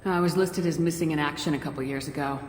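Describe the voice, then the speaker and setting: A woman acts as a no-nonsense female space commander in a video game. Her voice is notably low and direct. The sound is reverberant, with some noise behind it. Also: fast talker, a little mellow, close to an everyday voice. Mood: composed. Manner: direct, businesslike. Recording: echoey, reverberant, clear background noise.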